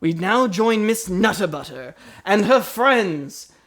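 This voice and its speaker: A woman's voice: mid-range voice, expressive, slow. A woman narrating an improvised comedy mystery as a mock radio announcer and podcast host.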